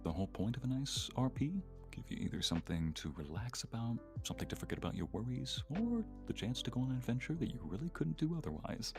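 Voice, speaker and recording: Low male voice, gravelly fry, lively inflection, playful folksy banter, casual stream chat, guitar music behind. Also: fast talker, hardly any pauses. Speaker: voice actor guest on a live stream.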